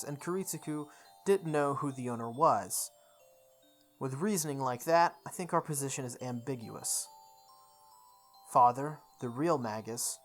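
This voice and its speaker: Visual novel playthrough narrator, medium pitch, deliberate read-aloud delivery with small stumbles, music underneath. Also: a bit bright. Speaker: let's play host reading a visual novel aloud. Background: background music.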